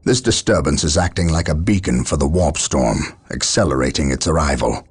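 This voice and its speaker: A man's voice: rumbling low, brisk, slightly rough. A man playing a proud space marine captain in a video game, solemn, commanding and formal. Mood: stern, resolute. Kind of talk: video game drama acting. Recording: loud music behind the voice.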